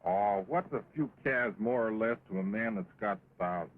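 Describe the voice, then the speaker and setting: A man's voice: on the low side, normal speed, natural voice. Man playing a flippant cowboy rustler, noisy old film. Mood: defiant, flippant. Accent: American. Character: carefree, stubborn young cowboy turned cattle rustler. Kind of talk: early 1929 talkie western film acting. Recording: dull, noisy 1920s film soundtrack.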